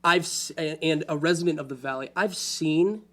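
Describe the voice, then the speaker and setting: A man's voice: on the high side, moderate pace. A man who sits on a public access station's board, speaking earnestly at a community forum and often restarting his sentences. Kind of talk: panel discussion.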